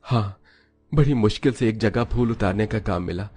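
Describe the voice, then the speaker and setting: A man playing a responsible elder brother and husband in a cartoon moral story, calm and reassuring. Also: lowish voice, moderate pace, breathy edge, soft background music. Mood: patient.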